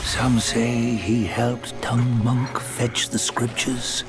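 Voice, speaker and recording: An actor playing a knowing old storyteller in game narration, gravelly and measured with dramatic pauses. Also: male voice, mid-range voice, flat delivery. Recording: music behind the voice.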